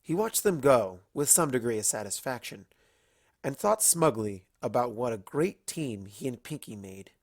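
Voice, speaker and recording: A woman's voice: a bass voice, lively intonation, normal speed, no echo, studio quality. A woman narrating a comic story as a reader, in a storytelling style. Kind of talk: lively narration of a comic fan fiction story.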